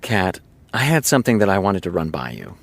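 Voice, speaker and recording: A man playing an easygoing, earnest TV showrunner in an audio drama, confiding in casual storytelling with dramatic pauses. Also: sits low, sing-song, slight background noise. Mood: casual host. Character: easygoing male TV showrunner, chatty earnest raconteur. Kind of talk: audio drama acting, naturalistic dialogue.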